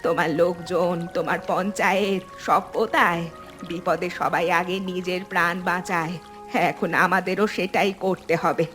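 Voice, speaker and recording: A woman's voice: lowish voice, fairly even intonation, moderate pace, fairly bright tone, soft background music, natural voice. A woman playing a practical, determined village mother in a cartoon, speaking firmly and urgently. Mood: firm, urging. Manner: firm, urging. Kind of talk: cartoon dialogue.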